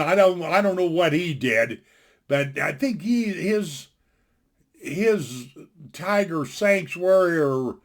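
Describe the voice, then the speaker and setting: Blunt, folksy older man with a high voice. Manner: folksy, blunt and opinionated, rambling with many restarts. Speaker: vlogger. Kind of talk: casual opinion rant to camera. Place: his home. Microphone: desktop computer mic.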